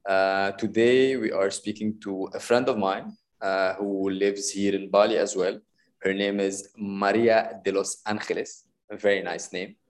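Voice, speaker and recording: Male interview host, lowish voice, hesitant delivery full of uhs and restarts. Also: steady tone. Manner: halting, many filler uhs and restarts. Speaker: podcast host. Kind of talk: casual interview. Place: Bali.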